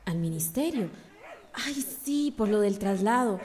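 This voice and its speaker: An actress playing a warm, stubborn schoolteacher in love in a radio drama, with a Central American accent. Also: female voice, mid-range voice, expressive, measured pace, close to an everyday voice. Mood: excited. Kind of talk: radio drama acting and narration.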